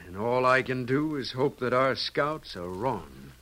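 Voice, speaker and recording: A man's voice: medium pitch, big pitch swings, a little slow, sharp tone, slight background noise, low-quality recording. A man playing an earnest, dutiful cavalry captain in an old radio western. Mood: firm, concerned.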